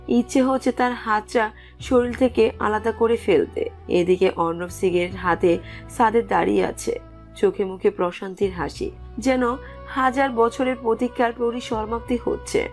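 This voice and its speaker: A woman's voice: mid-range voice, moderate pace, darkish tone. A woman reading scripted narration for a romantic story as its narrator. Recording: music under the voice.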